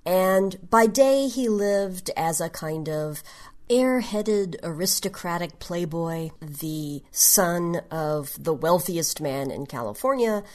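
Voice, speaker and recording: A woman gives a prepared podcast talk as a segment presenter. She speaks slowly and explains carefully, in a slightly low voice. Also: animated, a little mellow. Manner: measured, explanatory. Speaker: genre history segment presenter.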